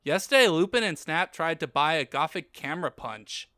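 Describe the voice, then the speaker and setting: A woman's voice: sits low, expressive, moderate pace, bright, forceful tone, dry recording, studio quality. A woman voicing a laid-back, flirtatious male goth shop salesperson in a comic story reading, casual and confiding.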